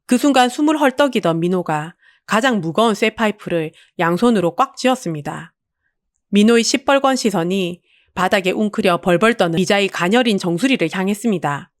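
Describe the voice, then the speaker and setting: A woman's voice: mid-range voice, animated, fairly quick, dry recording, studio quality. A woman reading a dramatic scripted story as an audiobook narrator.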